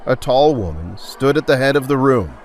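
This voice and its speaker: A man narrating a dramatic audio story in a theatrical, deliberate and suspenseful way. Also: mid-range voice, expressive, a little hiss or hum. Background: music.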